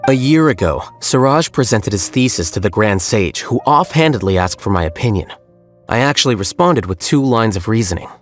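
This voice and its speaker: An actor playing an aloof, blunt young scholar who speaks in a dry, matter-of-fact way in a game cutscene. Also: male voice, lowish voice, expressive, brisk, soft background music, natural voice. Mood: cool.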